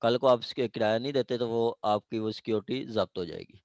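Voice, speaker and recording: A man with a Pakistani accent teaches law in an informal classroom style. His voice has a middling pitch and is recorded very dry. He explains with examples, slips into English legal terms and often checks that listeners follow. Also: a little fast, no echo. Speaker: law lecturer.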